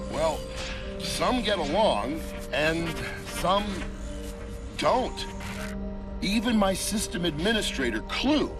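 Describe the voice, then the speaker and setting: A man playing an earnest, awed middle-aged computer visionary in a video game, musing in a halting, laid-back, slangy way. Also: on the high side, recorded from a distance. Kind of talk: dramatic video game cutscene acting.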